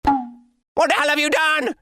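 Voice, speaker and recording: A man's voice: very high-pitched, fairly even intonation, slow pace, pushed and bright, voice pushed up, full character voice. A man playing a shady, hot-tempered company boss, sputtering and whiny with outrage, in a comic manga dub. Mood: outraged.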